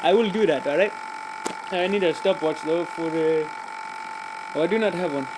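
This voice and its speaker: A cheerful, rambling joke-teller played by a man, stumbling through fillers and restarts in broken grammar. The voice sits high. Loud traffic noise runs behind the recording. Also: moderate pace, lightly acted. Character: rambling, cheerful adult man telling pointless jokes. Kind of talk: comic character monologue.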